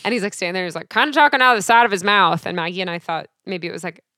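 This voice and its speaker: A young woman co-hosting a podcast, telling animated stories in casual chat and acting out the people she quotes. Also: on the low side, racing, dry recording, studio quality. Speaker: podcast co-host.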